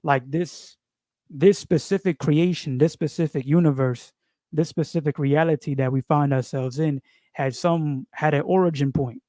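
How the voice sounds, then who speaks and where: Give me a man, rambling casually about spirituality, full of fillers, fairly high-pitched, recorded dry and close. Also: fairly even intonation, moderate pace, dark tone, no echo. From New York. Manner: rambling, repeats phrases, frequent fillers and slang. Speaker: video creator.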